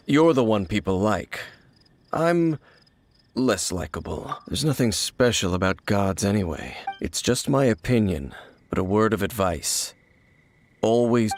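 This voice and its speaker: Aloof, low-voiced, solemn warrior. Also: male voice, vocal fry, slight background noise. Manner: solemn, measured, formal. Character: aloof, world-weary, mysterious male warrior, grave and guarded. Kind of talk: video game voice acting. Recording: music behind the voice.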